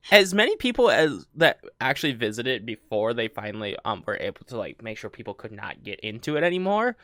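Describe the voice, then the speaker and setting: Man with a lively pitch, stumbling as he reads. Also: no echo, clean studio sound. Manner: stumbling, laughs often, many fillers. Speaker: podcast co-host. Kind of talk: casual chat, reading a story.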